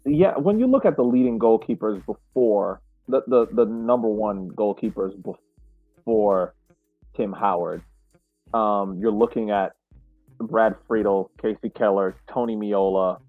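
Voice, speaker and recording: A man hosting a casual soccer podcast discussion, speaking haltingly and repeating words as he reflects. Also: lowish voice, expressive, faint music behind. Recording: muffled, roomy sound.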